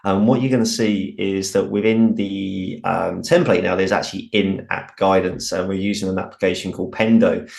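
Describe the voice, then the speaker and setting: A British man presenting a software training webinar, informal with frequent ums and restarts, his voice a little croaky. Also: low-pitched, steady tone, normal speed, few pauses. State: slightly croaky voice.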